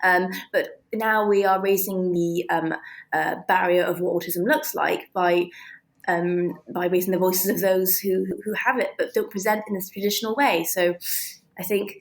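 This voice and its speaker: A woman answering as an interview guest, thinking aloud in a halting, reflective, conversational way. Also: on the low side, steady tone.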